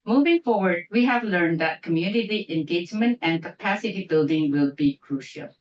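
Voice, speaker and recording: A woman's voice: fairly low pitch, lively intonation, moderate pace. A woman from Indonesia giving a prepared closing speech at an academic symposium, careful and restarting on long words. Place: lecture hall. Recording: echoey lecture hall, distant mic, muffled.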